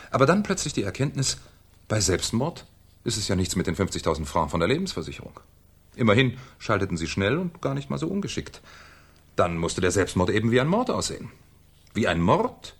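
An actor playing a shrewd, ironic French police commissioner in a 1970s German radio crime drama, with a theatrical, needling manner. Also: male voice, deep voice, fairly bright tone, husky, full character voice.

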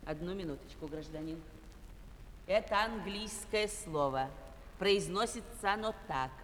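An actress playing a pedantic café manager who drills a customer on pronunciation like a language teacher, precise and didactic, in a stage comedy sketch. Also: female voice, lowish voice, measured pace, a bit bright. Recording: live stage, hall reverb, faint noise.

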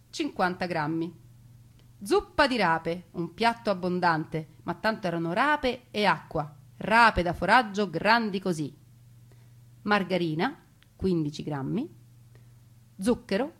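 A woman delivering a theatrical narrated monologue, expressive, with deliberate pauses and emphasis. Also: on the low side, husky. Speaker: stage storyteller.

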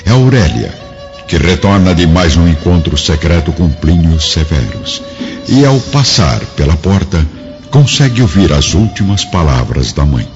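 A man narrating a radio drama in a solemn, literary, measured and dramatic style. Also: low-pitched, big pitch swings, a little mellow. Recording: dull radio drama audio with music.

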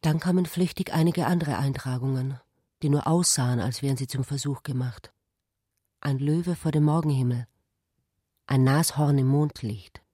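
A speaker reading a radio drama passage in a soft, partly whispered way. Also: mid-range voice, moderate pace, breathy edge, no room sound, studio quality.

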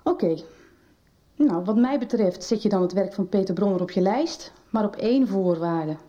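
An actress playing a mild, frank teacher in a Dutch radio soap. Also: female voice, medium pitch, lively intonation, normal speed, dark tone, far from the mic, highs cut off, close to an everyday voice. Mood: frank, thoughtful. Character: mild, frank woman school teacher advising a pupil on books.

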